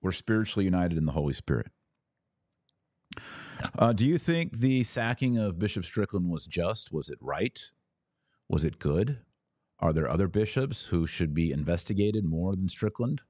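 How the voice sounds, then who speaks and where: A man with a low-pitched voice hosts a live show in a conversational, direct style. He urges his listeners along as he moves through comments and commentary. The sound is dry, with very little room in it. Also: expressive, moderate pace, darkish tone, no room sound. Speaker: podcast host. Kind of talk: casual live commentary, reading and answering viewer comments.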